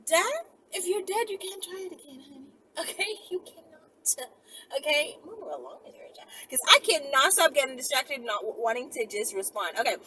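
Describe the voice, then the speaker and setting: A woman chatting casually to her viewers in a blunt, slangy, animated way, often sidetracking herself. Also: high voice, moderate pace. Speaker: video channel host. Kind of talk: casual rambling chat to viewers. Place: outdoors near houses and passing cars. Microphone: phone on a holder. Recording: noisy outdoor phone recording, passing vehicles.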